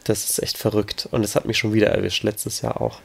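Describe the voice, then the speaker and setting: Gravelly man with a cold. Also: fairly low pitch, monotone, a little fast, a little hiss or hum. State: has a cold. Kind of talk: casual chat.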